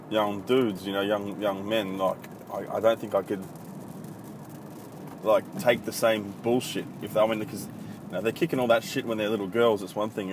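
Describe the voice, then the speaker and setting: A low-voiced Australian man in his thirties rambles through a solo podcast, swearing freely and hesitating with plenty of ums. It is recorded on a phone, with loud traffic and street noise behind him. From country Victoria, Australia. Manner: blunt, profane, rambling with many ums. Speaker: podcast host. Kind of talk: casual solo podcast monologue. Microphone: iPhone.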